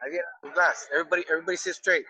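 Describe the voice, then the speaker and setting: Man, co-host, casual chat, bright tone, quick jokey interjections, off-mic. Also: fairly high pitch, steady tone, low-quality recording.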